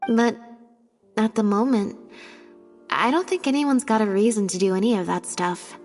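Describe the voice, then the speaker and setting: A woman voicing a cool, analytical young woman thinking through a mystery in a video game, measured and musing. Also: a little high, expressive, a little mellow, big-room sound, faint music behind, natural voice. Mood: musing, suspicious.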